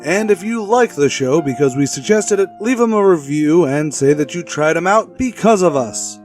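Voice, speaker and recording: A man reading a scripted podcast announcement in the manner of a retro radio show. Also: fairly high pitch. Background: music.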